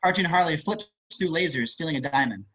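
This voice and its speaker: A man's voice: on the high side, expressive, fairly quick, sharp tone. A man reading stage and screen directions aloud as scripted narration. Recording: muffled online call audio.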